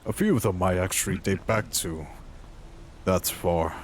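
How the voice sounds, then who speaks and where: A man voicing an earnest, wistful mercenary adventurer in his thirties for a fantasy game roleplay, in a halting, reflective way. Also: low voice, slight background noise. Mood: musing. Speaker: role-playing gamer voicing his character. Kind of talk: in-character fantasy game monologue.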